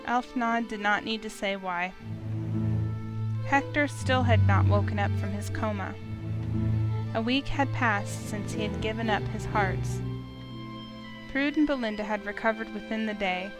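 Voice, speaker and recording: A woman's voice: medium pitch, measured pace, pauses often, loud music behind the voice. A woman reading scripted story narration as the narrator of a visual novel.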